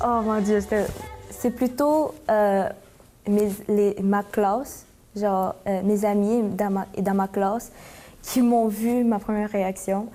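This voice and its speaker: A sixteen-year-old girl from the Philippines recounts a memory in an animated, rambling way. Her voice is mid-pitched and mellow, and she talks with a lively, eager push. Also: takes it slowly, soft background music. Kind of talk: casual testimony.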